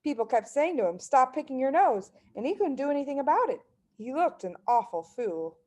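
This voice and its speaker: A woman's voice: high-pitched, big pitch swings, a little fast, a little mellow, voice pushed up. A woman voicing a clever little girl in a children's story, innocent, matter-of-fact and cheeky.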